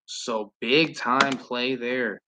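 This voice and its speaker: A man's voice: medium pitch, fairly even intonation, drawn-out words, noisy background. A man from Southern California hosting a live stream, chatting casually and calling plays, rambling with lots of fillers and casual swearing. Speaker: livestream host and play-by-play commentator.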